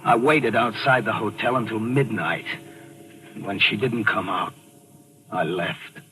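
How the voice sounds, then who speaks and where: A man's voice: fairly low pitch, a little slow, a little hiss or hum, low-quality recording. An American actor playing a bitter, jealous husband narrating his story in a controlled, clipped way in a 1940s radio mystery. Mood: brooding. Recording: old radio broadcast with loud music.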